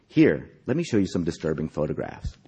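A man's voice: rumbling low, sing-song, fairly quick, mellow, husky, heavily acted. A man voicing a smug, avuncular middle-aged doctor from an old educational film in a patronizing manner. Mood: smug warning.

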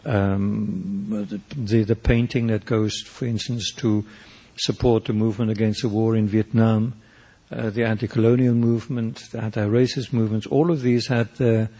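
Older South African man, husky low voice. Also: flat delivery, dark tone. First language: Afrikaans. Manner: thoughtful, halting, many restarts and fillers. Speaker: poet and painter, interview guest. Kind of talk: reflective interview answers.

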